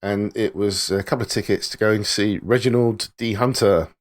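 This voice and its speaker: A man's voice: lowish voice, fairly even intonation, moderate pace. A man co-hosting a podcast, recommending a show and a documentary in an easygoing, enthusiastic way. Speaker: podcast co-host.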